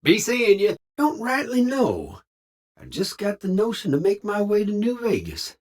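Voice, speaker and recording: Folksy robot cowboy drifter for a video game: husky Southern drawl, wildly swooping pitch, friendly with a sly edge. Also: male voice, full character voice. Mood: jovial, folksy. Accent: American Southern cowboy drawl. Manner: folksy slang, theatrical swooping delivery. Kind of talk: video game character dialogue.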